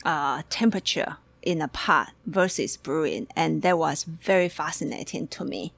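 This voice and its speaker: Female co-host from Taiwan, low gravelly voice, halting restart-heavy delivery. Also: a bit bright, dry recording. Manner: halting, many restarts and fillers, laughs often. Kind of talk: casual chat.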